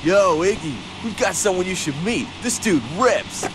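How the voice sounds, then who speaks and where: Cocky skater punk, territorial, slangy, high voice, animated swings, game cutscene. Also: male voice, moderate pace, background noise. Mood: bragging. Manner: brash, slangy. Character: cocky territorial tough-guy skater, gruff slacker. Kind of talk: video game cutscene acting.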